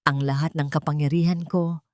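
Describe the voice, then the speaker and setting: A woman's voice: sits low, steady tone, fairly quick, no room sound, clean studio sound, lightly acted. A woman voicing an angry, determined rich CEO son with a cold, menacing manner in an audio story. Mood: grim resolve.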